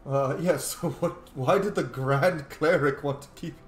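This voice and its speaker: A man's voice: on the high side, measured pace. A man giving casual, jokey playthrough commentary on a role-playing game, riffing as he goes. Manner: jokey, riffing, easily amused. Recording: roomy echo, faint noise.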